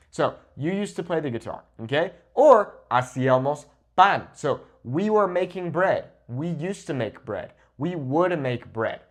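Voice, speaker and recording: A man with a slightly high voice teaches a language lesson. His intonation swings widely as he stresses key points and frequently checks that the listener follows. He speaks straight to camera into a lapel mic. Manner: emphatic, didactic, checks in often with "okay?". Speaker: Spanish teacher. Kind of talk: instructional lesson to camera, switching between English and Spanish examples. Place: indoors, in front of a whiteboard. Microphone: lapel mic clipped to the shirt.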